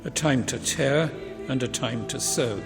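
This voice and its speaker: An older man giving a solemn, measured scripture reading at a funeral. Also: mid-range voice. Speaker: funeral reader. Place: inside a church at a funeral service. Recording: reverberant church, music in background.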